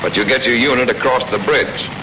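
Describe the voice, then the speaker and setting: A man's voice: medium pitch, fairly quick. An American man giving scripted, matter-of-fact instruction with folksy touches, in the style of a 1940s military training film narrator. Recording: noisy old 1940s film soundtrack.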